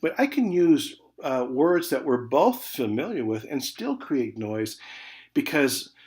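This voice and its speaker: A man with an American accent giving an informal online college lecture, conversational, stressing key words and then trailing off softly. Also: medium pitch, very animated, slow pace. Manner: conversational, emphatic key words trailing off into soft check-ins like "okay". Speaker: college communication instructor.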